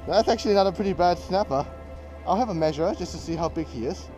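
A man from New Zealand giving casual, excitable running commentary while fishing outdoors on a rocky jetty, recorded on a head-mounted action camera. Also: high voice, quick pace, faint music behind, rough recording. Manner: chatty, excitable, thinks aloud. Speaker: fishing vlogger.

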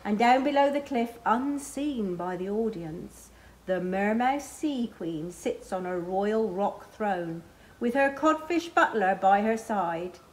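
A middle-aged woman reading a picture book aloud to children, expressively, pausing to point out the pictures. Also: mid-range voice, expressive, a little mellow, rough recording. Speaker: library storyteller. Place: small library children's corner, indoors.

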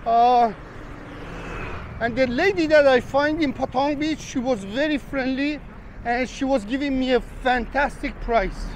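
Slow-talking high-voiced man vlogging outdoors. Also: poor audio. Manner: rambling, chatty, addresses viewers as guys. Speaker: travel vlogger. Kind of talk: casual walking vlog. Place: outdoors on a rainy street. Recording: street traffic noise behind.

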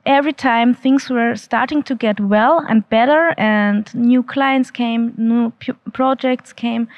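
A woman's voice: fairly high pitch, dry recording. A woman, giving an earnest, unscripted testimony into a handheld mic, with many restarts and fillers. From Austria. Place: indoor church hall. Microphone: handheld mic held by interviewer.

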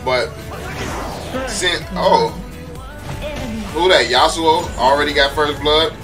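A man's voice: on the high side, takes it slowly, distant mic. A young man with a congested voice giving laid-back, slangy reaction commentary on a mobile game as a YouTuber, speaking into a headset. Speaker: gaming YouTuber reacting to gameplay. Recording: game audio and music behind, a bit off-mic.

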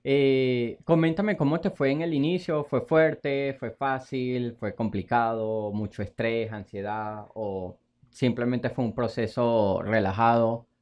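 Mid-pitched man hosting an interview. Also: steady tone, slow, darkish tone, muffled recording. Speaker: interview host, trading teacher. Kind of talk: casual interview.

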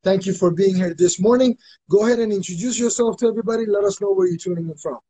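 A man hosts over a video call, his voice dark and husky yet pitched a bit high. He keeps things upbeat and direct with short prompts. He speaks into a webcam mic from a small room at home. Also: fast, poor audio. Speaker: host.

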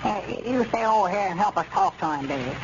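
High-voiced befuddled old country storekeeper. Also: male voice, expressive, brisk. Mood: feisty. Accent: rural Southern American. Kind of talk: 1930s radio comedy acting. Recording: muffled, noisy 1930s radio recording.

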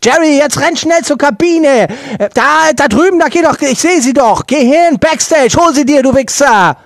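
Man playing a high-pitched, foul-mouthed bully. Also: expressive, moderate pace, a little hiss or hum. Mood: aggressive. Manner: vulgar, taunting, slangy with English words mixed in. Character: brash, foul-mouthed, gleefully bullying male time traveller. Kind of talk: crude improvised comedy audio play.

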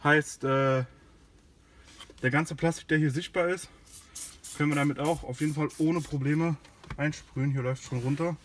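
A man's voice: a little high, steady tone, moderate pace, pauses often, a little hiss or hum. A man giving a casual product demonstration as a car care host, informal and a little hesitant while he works. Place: inside and beside a car in a garage.

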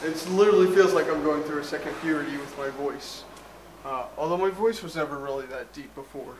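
A young man chats in a loose, rambling way, full of ums and uhs, in a voice pitched a little high for a man. He holds the camera at arm's length while walking around a small spare bedroom indoors, with faint room ambience behind him. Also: fairly quick, noisy background. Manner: rambling, filler-heavy, loose and chatty. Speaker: vlogger. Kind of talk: casual vlog chat. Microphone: handheld camera at arm's length, moving around.

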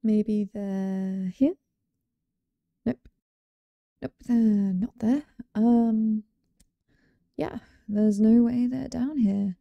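A woman's voice: medium pitch, fairly even intonation, drawn-out words, gravelly, pauses often, no room sound, dull audio. A British woman playing a cheerful, chatty slasher killer in an ASMR roleplay, teasing and casual with sweet menace. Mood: playfully menacing. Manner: playful, casual, teasing, amused.

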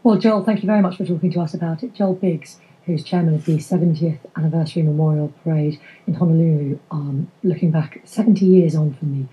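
A woman presenting and interviewing on live radio news, in a somewhat halting manner. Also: low voice, muffled recording.

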